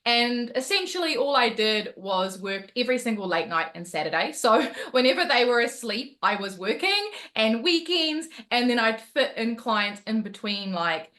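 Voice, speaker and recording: A woman with a New Zealand accent, a motivational coach telling her story on a video call, lively and laughing often. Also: fairly high pitch, normal speed, hardly any pauses. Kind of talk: webinar talk on a video call.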